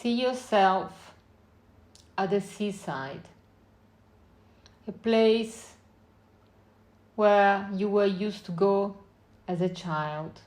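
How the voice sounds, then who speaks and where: Slow-paced woman guiding a meditation. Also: medium pitch. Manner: unhurried short phrases with long pauses, gentle instructing. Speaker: meditation guide. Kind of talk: guided meditation, slow spoken visualization.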